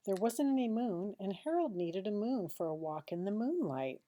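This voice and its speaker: A woman reads a children's picture book aloud like a teacher, expressively, with dramatic pauses and hushed asides. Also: medium pitch, big pitch swings, slight background noise.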